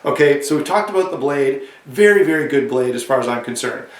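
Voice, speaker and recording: A man with a cold and a slightly hoarse voice, casually reviewing a knife to camera, rambling with restarts and asides. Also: medium pitch, animated, a little fast, reverberant, far from the mic.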